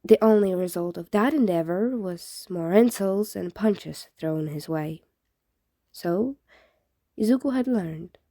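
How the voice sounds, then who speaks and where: A woman's voice: mid-range voice, takes it slowly, warm tone, no room sound, clean studio sound. A woman reading fan fiction aloud as scripted narration, in the style of an audiobook. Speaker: fan fiction podfic reader.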